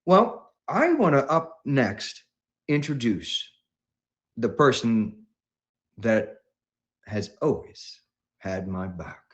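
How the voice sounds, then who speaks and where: A man hosting a livestream, talking in an enthusiastic, rambling way with many halting pauses. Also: mid-range voice, big pitch swings, lo-fi sound. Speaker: livestream host.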